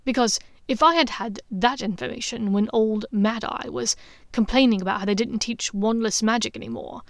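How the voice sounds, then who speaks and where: A woman voicing a playful, affectionate young man who teases in a low, intimate tone. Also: dry recording, clean studio sound, close to an everyday voice. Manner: teasing, low and intimate, sometimes half-whispered.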